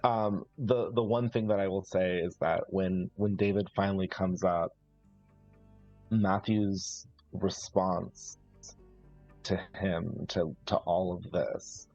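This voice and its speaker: Slow, low-voiced man narrating roleplay. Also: steady tone, a little mellow, lo-fi sound, soft background music. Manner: halting, searching, thoughtful phrasing. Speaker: tabletop roleplaying player. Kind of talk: improvised in-character narration, live play.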